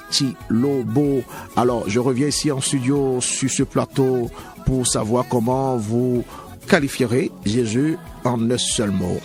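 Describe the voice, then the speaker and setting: A man hosting a gospel radio program from the studio, introducing songs and asking questions in a smooth, formal announcer's manner. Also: mid-range voice, darkish tone. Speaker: radio show host. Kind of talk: radio presenting.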